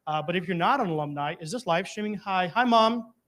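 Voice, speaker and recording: A man's voice: high-pitched, lively intonation, fairly quick, a bit bright. A man giving an informal, chatty testimonial as a guest before a live audience, mixing joking with earnest appeal. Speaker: guest speaker, alumnus performer of the theater.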